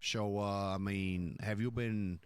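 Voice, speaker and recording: A man's voice: lowish voice, steady tone, slow, smooth. A man improvising a mafia boss in a role-play, talking conversationally in a profane, rambling, explanatory way. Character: mafia boss, profane, laying down the crew's hierarchy. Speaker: role-play streamer playing a crime boss.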